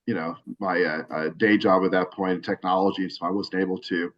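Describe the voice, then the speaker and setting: A man's voice: deep voice, fairly even intonation, brisk, highs cut off. A man talking casually in an interview over a video call, rambling with many restarts and fillers. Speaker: cigar brand owner.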